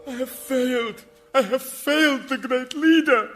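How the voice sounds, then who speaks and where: A naive young male zealot in a radio sitcom, with a high-pitched voice. He sounds earnest, hesitant and plaintive, as if his devotion is wobbling. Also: unhurried, fairly bright tone, soft background music. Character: earnest, gullible, tearful young male would-be martyr.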